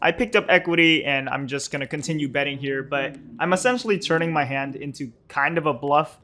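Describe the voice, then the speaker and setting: A man's voice: on the high side, a little fast, pushed and bright, slight background noise. A man in his twenties giving a casual, scripted poker vlog voice-over in a matter-of-fact, explanatory way. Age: 20s. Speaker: poker vlogger and host.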